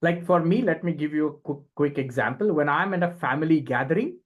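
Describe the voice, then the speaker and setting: A man's voice: on the high side, brisk, phone-quality sound. Middle-aged Indian-accented man, emphatic explainer. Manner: emphatic, checks in often with short tag questions. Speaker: podcast guest, communication and leadership expert. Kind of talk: conversational explanation with examples.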